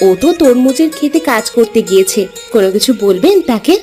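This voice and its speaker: A woman's voice: fairly high pitch, lively intonation, quick pace, dark tone, close to an everyday voice. A woman voicing a patient, attentive village housewife and daughter-in-law in a cartoon. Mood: caring. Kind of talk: dubbed cartoon dialogue. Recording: music under the voice.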